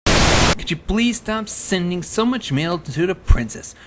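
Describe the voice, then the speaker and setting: A performer voicing an earnest young male dragon, helpful and a little exasperated, in an animated fan drama. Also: medium pitch, a little slow, heavy background noise, natural voice. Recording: music behind the voice. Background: background music.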